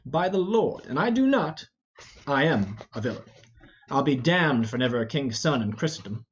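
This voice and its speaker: A man's voice: medium pitch, big pitch swings, moderate pace, slight background noise, voice pushed down, close to an everyday voice. A man voicing a blustering, roguish old knight in an amateur Shakespeare reading, full of mock-indignant rhetoric. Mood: mock-repentant. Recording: dull, lo-fi.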